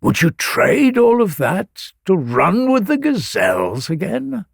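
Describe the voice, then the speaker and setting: A man's voice: sits high, wildly swooping pitch, takes it slowly, vocal fry, dry recording, clean studio sound, voice pushed up, full character voice. A man voicing a noble sun god, earnest and persuasive, reasoning with a mortal in a myth story. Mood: gentle reproach.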